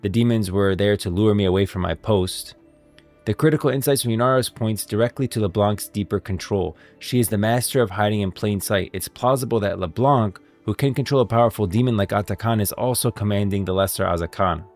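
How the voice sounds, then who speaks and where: A man's voice: on the low side, brisk, darkish tone, soft background music. A man hosting a stream, giving emphatic, explanatory commentary on video game lore, part read and part off the cuff.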